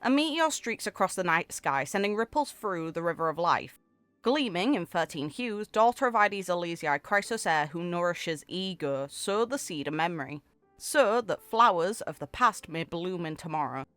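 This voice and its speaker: A woman's voice: fairly low pitch, expressive, normal speed, a bit bright, clean tone, dry recording. A woman gaming creator reading story text aloud expressively, with casual commentary. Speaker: gaming video creator reading game character lore aloud. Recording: music playing under the voice. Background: background music.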